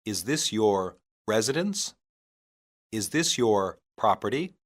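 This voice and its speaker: Slow, deliberate man reading lesson phrases. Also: lowish voice, sing-song. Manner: deliberate, separated phrases for learners. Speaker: English teacher reading practice phrases. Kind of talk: scripted language-lesson reading.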